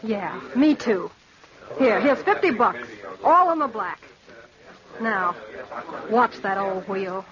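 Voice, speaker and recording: A woman's voice: medium pitch, expressive, slow, pauses often, a crowd in the background. A woman acting a tough, world-weary young gambler in an old-time radio drama, with a brassy, streetwise manner. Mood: brassy, wary. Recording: dull old radio recording.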